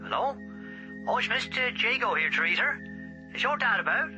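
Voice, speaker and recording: A man's voice: extremely high pitch, animated, moderate pace, dull audio, over music. An actor playing a kindly, insistent local man in a radio drama, plain-spoken as he talks to a child on the telephone.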